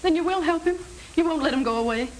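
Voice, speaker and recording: A woman's voice: high-pitched, fast talker, lightly acted. An American actress playing a lovestruck, devoted young woman who implores earnestly in a 1930s film melodrama. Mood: devoted, urgent. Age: young adult. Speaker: film actor. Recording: hissy 1930s film soundtrack.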